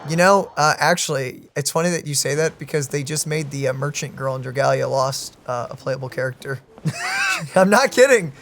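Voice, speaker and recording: A man's voice: on the high side, lively intonation, moderate pace, noisy background. A man hosting a let's play, chatting casually and enthusiastically while he plays. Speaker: gaming video host. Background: game music.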